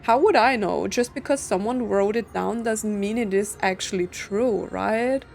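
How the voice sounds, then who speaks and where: A woman's voice: medium pitch, unhurried, vocal fry, darkish tone, a little hiss or hum. A woman giving casual gameplay commentary, musing aloud in a rambling way. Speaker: video game streamer.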